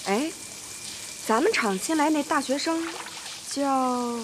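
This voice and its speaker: Nosy, high-voiced young woman. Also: expressive, slow pace, heavy background noise. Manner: inquisitive, gossipy. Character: nosy, chatty young woman factory worker. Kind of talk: screen comedy-drama acting. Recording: echoing hall with music behind.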